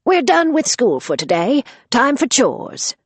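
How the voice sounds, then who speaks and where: A woman voicing a fierce, sharp-tongued older grandmother who scolds and commands. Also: high voice, sing-song, measured pace, breathy edge, dry recording, pitched above the performer's own voice, exaggerated voice. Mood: brisk.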